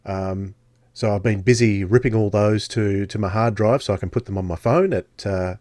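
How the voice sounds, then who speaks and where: A man's voice: lowish voice, moderate pace, darkish tone, dry recording. A man chatting casually as co-host of a podcast. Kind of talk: casual chat.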